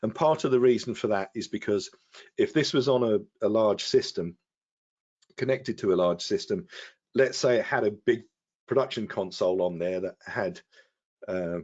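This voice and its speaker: Guy with a lowish voice walking thru software, kinda halting, slightly muffled stream audio. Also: breathy edge. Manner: halting, explanatory. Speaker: guest product expert giving a demonstration. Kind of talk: technical software walkthrough. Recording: dull, lo-fi web stream audio.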